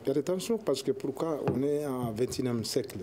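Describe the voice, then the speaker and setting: A young man, an Arabic speaker talking in French as an association spokesman on a studio panel, halting and searching for words. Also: medium pitch, expressive, background noise. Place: television studio.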